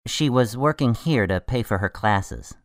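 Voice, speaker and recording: A man's voice: medium pitch, lively intonation, a little slow, no room sound, clean studio sound, lightly acted. An actor playing an earnest, anxious male medical examiner in a radio drama, explaining things expressively. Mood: worried, explaining.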